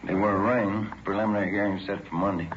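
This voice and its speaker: A man's voice: lowish voice, steady tone, fast, natural voice. An American man playing a loyal, plainspoken police detective partner in a 1940s radio drama. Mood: easy, dutiful. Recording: echoey, noisy, dull old radio recording.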